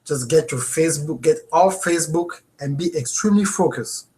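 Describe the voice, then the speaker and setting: A man in his thirties with a French accent, hosting a live webinar from a home office and talking into a webcam, earnest and animated, checking in with viewers. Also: a little high, moderate pace. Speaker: host.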